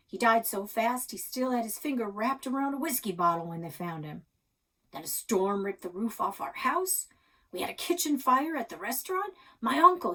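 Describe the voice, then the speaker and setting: Woman reading as a gruff, folksy old grandpa, husky. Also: medium pitch, fairly bright tone, low-quality recording. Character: stubborn, reminiscing old grandfather out fishing.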